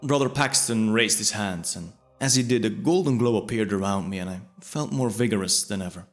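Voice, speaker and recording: A man delivering scripted first-person fantasy narration in a measured, descriptive storytelling manner. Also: fairly low pitch. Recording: music bed under the voice.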